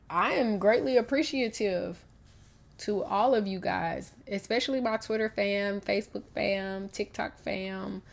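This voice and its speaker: Congested young Southern woman. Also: medium pitch. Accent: Southern US, North Carolina. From Raleigh, North Carolina. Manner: chatty, talks straight to her viewers. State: congested, stuffy nose. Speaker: livestream host. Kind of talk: casual livestream chat.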